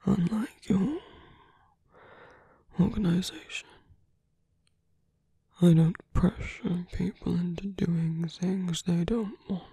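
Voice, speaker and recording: A man playing a seductive, smug villain in an intimate ASMR roleplay, whispery, slow and coaxing. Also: high voice, fairly even intonation, creaky, warm tone, breathy edge, dry recording, exaggerated voice. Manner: slow, whispery, teasing, deliberate. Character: seductive male villain, smug, coaxing, possessive yet courteous.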